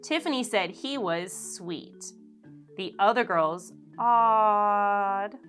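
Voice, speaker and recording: A woman, a children's teacher, reading a picture book aloud in an animated, expressive storytelling manner. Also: mid-range voice.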